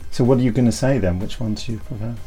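A man's voice: medium pitch, quick pace, warm tone, faint music behind, rough recording. A British man hosting a podcast in casual, teasing chat. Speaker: podcast host.

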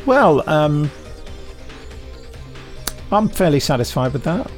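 A man's voice: fairly high pitch, very animated, slow, faint music behind. A man hosting a podcast in casual banter, self-mocking and enthusiastic as he introduces audio drama. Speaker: podcast host.

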